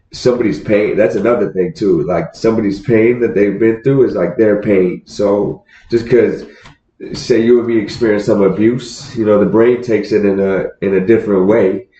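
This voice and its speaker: A man hosts a loose, casual chat in a low voice, swearing freely and laughing between stories. The sound is roomy and echoey, and it comes across dull and muffled. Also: steady tone, moderate pace, mellow. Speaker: podcast host. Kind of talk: casual conversation.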